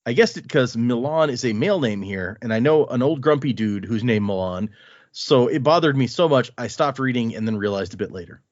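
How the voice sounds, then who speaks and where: A man in the host's chair reads a listener email aloud, his voice at a middle pitch and swinging up and down. He keeps breaking off to add quick asides of his own before picking the letter back up. The sound is close and dry, with a slightly dull, lo-fi edge. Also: no room sound. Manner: reads with lively asides and comments between lines. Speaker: podcast host.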